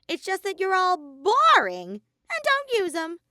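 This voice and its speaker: A woman's voice: very high-pitched, wildly swooping pitch, a little slow, bright, forceful tone, no echo, studio quality, pitched above the performer's own voice, heavily acted. A woman voicing a mischievous, sadistic male robot bear in an audio story, flippant and taunting. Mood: nonchalant, taunting. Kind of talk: fan fiction audio story character voice.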